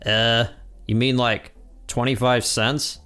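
A man voicing a dim, crude teenage boy from a cartoon, with a slow, hesitant, dopey delivery. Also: mid-range voice, little pitch movement, bright, forceful tone, clean tone, faint music behind.

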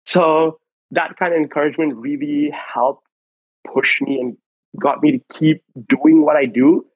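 A man's voice: fairly high pitch, fairly even intonation, measured pace, no echo, highs cut off. A young man from Malaysia, a podcast guest telling stories from his childhood in a loose, rambling way full of "like" and restarts. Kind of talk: casual storytelling interview. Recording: dry close mic, faint music behind.

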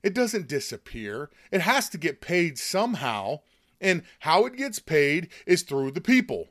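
A man from the United States, hoarse from a chest cold, giving a scornful, indignant opinion monologue as host. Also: fairly high pitch, big pitch swings, a little slow, fairly bright tone, no echo, clean studio sound. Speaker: political commentary host.

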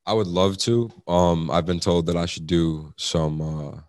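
A young man with a very low baritone, a rapper talking casually as an interview guest. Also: a little slow, darkish tone, no echo, clean studio sound. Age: young adult. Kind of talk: casual chat.

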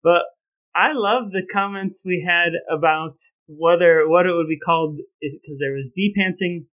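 A man's voice: high-pitched, moderate pace, phone-quality sound. A man co-hosting a podcast, moving between casual chat and playful, mock-grand announcements. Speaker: podcast co-host.

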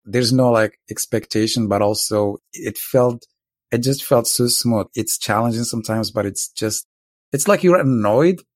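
A man's voice: mid-range voice, normal speed, no echo. Laid-back man telling a rambling story. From Morocco. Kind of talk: casual couple storytelling.

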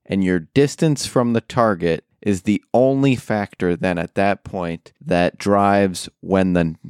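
A man on a casual podcast, calmly explaining in a matter-of-fact way. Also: sits low, slow pace, clean tone, dry recording, studio quality. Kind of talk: casual chat.

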